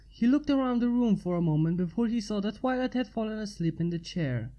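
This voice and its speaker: A woman's voice: mid-range voice, dark tone, low-quality recording. A woman narrating a scripted piece of fan fiction as its reader.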